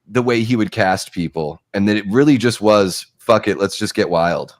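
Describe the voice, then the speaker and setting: A man's voice: lowish voice, moderate pace, dry recording. A man in his forties, a show host, chatting casually and at length during a live movie watch-along, often laughing. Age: 40s. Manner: talkative, rambling, laughs often.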